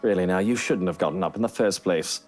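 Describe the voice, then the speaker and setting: A woman voicing a gruff, brooding husband in a visual novel, speaking in stern, terse, formal phrases. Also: extremely low pitch, quick pace, a little mellow, faint music behind, voice pushed down.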